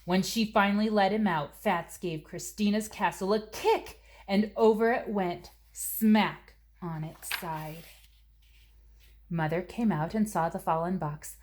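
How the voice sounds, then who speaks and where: A woman reading a children's story aloud as narrator, with expressive, deliberate phrasing. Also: mid-range voice, a little hiss or hum. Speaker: storybook reader.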